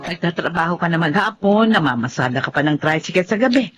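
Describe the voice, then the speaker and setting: A woman's voice: lowish voice, expressive, quick pace, loud music behind the voice, lightly acted. An older woman with a Filipino accent playing an inquisitive, approving mother in a TV drama. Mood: concerned, approving.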